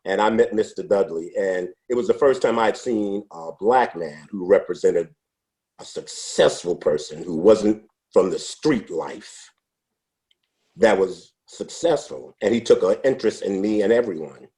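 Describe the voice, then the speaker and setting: A man in his sixties, a podcast interview guest, telling his life story in an unhurried, reflective way with frequent restarts. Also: low-pitched, expressive, warm tone. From United States. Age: 60s. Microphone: podcast microphone.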